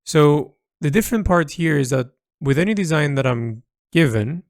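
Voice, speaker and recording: Man with gravelly, creaky voice, reflective monologue. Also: on the high side, lively intonation, dry recording, clean studio sound. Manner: halting, searching for words, repeats himself. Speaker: creator recording an audio log.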